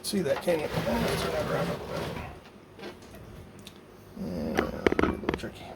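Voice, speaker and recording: A man talking casually and haltingly, thinking aloud as he works through a hands-on project. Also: on the high side, huge pitch swings. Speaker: hobbyist maker demonstrating a DIY project. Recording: loud background noise.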